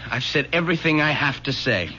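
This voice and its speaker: A man's voice: on the high side, expressive, a little fast, sharp tone, a little hiss or hum. An actor playing a troubled young man in a radio drama, earnest and resolute. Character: troubled young man, son turning against father. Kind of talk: 1970s radio drama acting. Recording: dull old radio broadcast.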